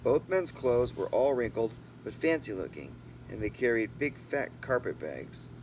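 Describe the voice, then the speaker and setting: A man's voice: mid-range voice, normal speed. A man reading a storybook aloud in an informal way, sometimes stumbling and correcting himself. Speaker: amateur audiobook reader. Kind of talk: storybook narration with asides. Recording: noisy home recording with steady hum.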